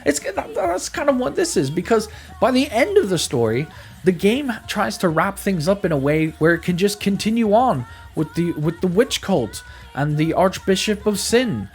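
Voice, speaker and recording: A man's voice: high-pitched, sing-song, moderate pace. A man giving a casual, conversational video game review, speaking emphatically and often stammering or repeating his words.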